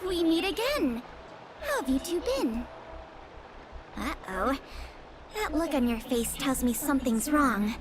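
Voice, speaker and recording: High, unhurried, careful young woman. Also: noisy background, exaggerated voice. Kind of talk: video game voice acting.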